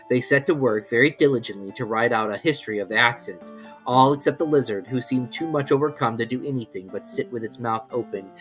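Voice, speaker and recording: A woman narrating a classic children's story in a measured, expressive reading. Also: very deep voice.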